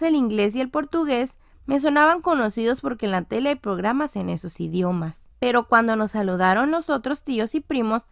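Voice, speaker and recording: A woman reading a scripted children's audiobook story, with expressive, deliberately phrased storytelling. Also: on the high side, creaky, no room sound, clean studio sound. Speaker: audiobook narrator.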